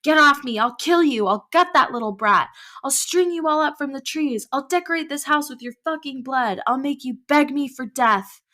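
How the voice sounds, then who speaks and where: A woman's voice: high-pitched, normal speed, bright, forceful tone, voice pushed up. A woman doing a scratchy growling possessed man. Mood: menacing rage.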